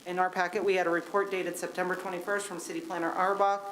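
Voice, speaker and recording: A woman's voice: on the low side, little pitch movement, fairly bright tone, rough recording. A woman chairing a city commission meeting, calling votes and reading agenda items in formal procedural wording. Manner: brisk, reads formal wording.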